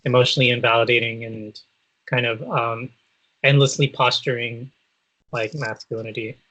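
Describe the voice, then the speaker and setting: A man's voice: mid-range voice, flat delivery, normal speed, fairly bright tone, rough recording. A man co-hosting a podcast in thoughtful, casual conversation, tentative and hesitant, peppering his sentences with "like". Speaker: podcast co-host.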